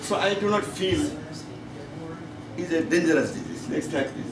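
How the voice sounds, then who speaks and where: A Bangladeshi man lectures to a medical conference in a somewhat high voice. He speaks in halting phrases and repeats himself for emphasis. The recording is echoey and noisy, as in a meeting room. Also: recorded from a distance.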